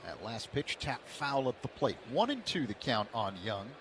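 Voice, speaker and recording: Steady male baseball play-by-play caller. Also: background noise. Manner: steady, measured pitch-by-pitch calling. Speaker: play-by-play announcer.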